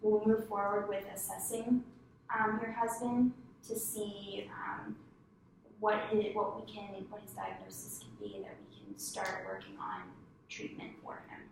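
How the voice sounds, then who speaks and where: A woman conducting a clinical case-history interview, asking polite, halting questions with many fillers. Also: medium pitch, expressive. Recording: echoey room, distant mic, faint noise.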